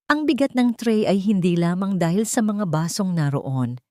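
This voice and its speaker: A woman narrating a scripted dramatic story in a measured, solemn manner. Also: mid-range voice, animated, warm tone, dry recording, studio quality.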